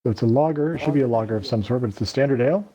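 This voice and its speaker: A man's voice: mid-range voice, warm tone, poor audio. A man as a guest on a gaming stream, joking wryly in an easygoing way. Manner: wry, joking, unhurried. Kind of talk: casual gaming chat.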